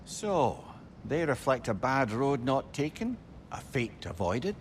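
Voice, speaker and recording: Wry companion with lively pitch. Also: male voice, slow pace, a little hiss or hum. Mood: wry curiosity. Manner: wry, probing, familiar. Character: wry, perceptive male companion, chatty and brotherly. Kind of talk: video game character acting.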